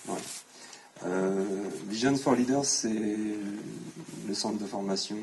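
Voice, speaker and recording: A man, an equine coaching instructor, presenting his training center at a conference in a casual, halting way with many fillers. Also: fairly low pitch, gravelly, darkish tone, a little hiss or hum, poor audio. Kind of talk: conference talk.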